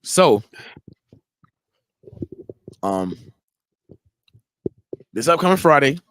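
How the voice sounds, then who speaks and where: A man's voice: medium pitch, sing-song, drawn-out words, a bit bright, clean tone, dry recording. Laid-back young man hosting a podcast. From Haiti. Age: 20s. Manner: laid-back, slangy, laughs often. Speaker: podcast host. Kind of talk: casual chat.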